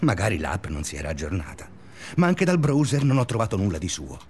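An actor playing an obsessive, restless male schoolteacher in a confessional radio drama monologue, speaking deliberately in short phrases. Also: sits low, sing-song, darkish tone, husky, exaggerated voice. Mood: tense. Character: obsessive, restless male schoolteacher narrating his sleepless days.

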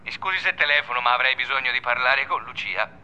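Sharp, insistent man on the phone. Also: mid-range voice, fast, clear, resonant tone. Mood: anxious, insistent. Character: insistent male teacher on the phone, anxiously polite. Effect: telephone filter. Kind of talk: radio drama dialogue. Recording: dull, band-limited telephone sound.

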